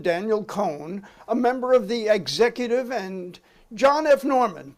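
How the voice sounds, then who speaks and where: A man playing a formal, insistent committee counsel questioning a witness in a dramatic reading of a 1950s hearing. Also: very high-pitched.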